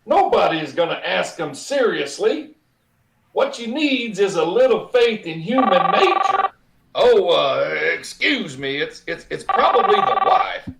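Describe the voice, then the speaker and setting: A man playing a flustered, blustering boss and henpecked husband in a radio comedy, halting and sputtering in ungrammatical speech. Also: high-pitched, sing-song, gravelly, fairly bright tone, far from the mic, a little hiss or hum, heavily acted. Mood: dismissive. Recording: roomy, echoing sound.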